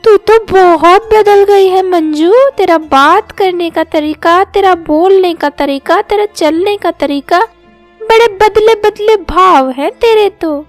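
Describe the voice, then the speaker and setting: A woman doing a shrill, mocking neighbourhood auntie. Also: takes it slowly, long pauses, no room sound, faint music behind. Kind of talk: storybook cartoon dialogue.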